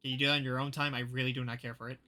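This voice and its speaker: Bright-voiced, blunt male game streamer. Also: mid-range voice, little pitch movement, very fast. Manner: blunt, profane, exasperated. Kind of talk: casual live game commentary.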